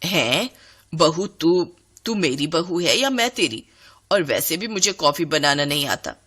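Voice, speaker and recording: A middle-aged Indian mother-in-law from a cartoon family tale, acted by a woman narrator. Her voice sits low and swings widely in pitch. She scolds and frets in dramatic, exasperated exclamations. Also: sing-song, unhurried, a bit bright, slightly rough, full character voice. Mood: bewildered.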